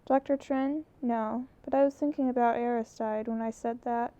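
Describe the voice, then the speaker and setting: An earnest, restless young woman photographer, as a woman reads her aloud in a storybook. Her voice is gravelly and darkly mellow. The muffled, lo-fi recording dulls it further. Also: a little high, fairly even intonation, moderate pace, no room sound, lightly acted. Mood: musing.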